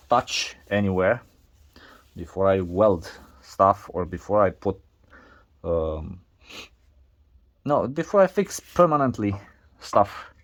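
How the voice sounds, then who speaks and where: Man, non-native English speaker, low voice, very slow, halting, many fillers, casual workshop narration. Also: lo-fi sound. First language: not English. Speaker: DIY video maker.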